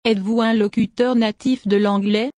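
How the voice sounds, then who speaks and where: Higher-voiced woman reading lesson questions. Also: steady tone, moderate pace, darkish tone, dry recording, studio quality. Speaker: French dialogue reader. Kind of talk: scripted language-lesson reading.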